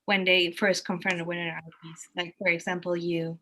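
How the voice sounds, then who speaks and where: A woman with a German accent, a native German speaker, casually explaining her work in a halting way as she thinks aloud. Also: fairly low pitch, level tone, bright, forceful tone. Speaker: interviewed art educator giving museum tours.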